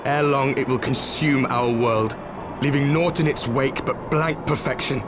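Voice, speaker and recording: An actor playing a grim, weary warrior from a doomed world in a game cutscene, speaking in a solemn, measured and deliberate manner. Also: male voice, medium pitch, steady tone, close to an everyday voice. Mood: solemn, grave. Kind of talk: dramatic video game cutscene acting. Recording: loud music under the voice.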